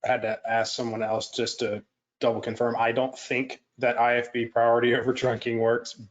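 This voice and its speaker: A man's voice: mid-range voice, completely flat delivery, normal speed, lo-fi sound. A man co-presenting a live technical webinar, answering questions in a casual, careful and somewhat tentative way. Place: livestreamed online session.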